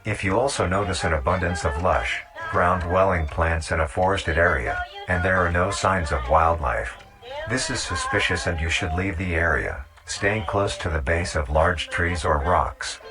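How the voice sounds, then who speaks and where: Very deep-voiced man narrating over music. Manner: measured, instructional. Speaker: narrator of a horror nature-guide video. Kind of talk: scripted documentary-style narration.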